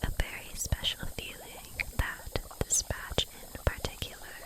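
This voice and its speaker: Woman playing a whispering gentle kitchen witch. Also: lightly acted. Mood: gentle, soothing. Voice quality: breathy unvoiced whisper. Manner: soft, slow, caring, unhurried. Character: gentle, soothing kitchen witch brewing potions. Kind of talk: whispered ASMR roleplay.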